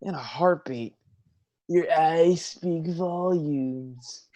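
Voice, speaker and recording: A man's voice: on the high side, drawn-out words, dark tone. A man playing a laid-back, teasing young surfer-dude sea god in a staged play reading, talking in a slangy drawl. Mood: needling.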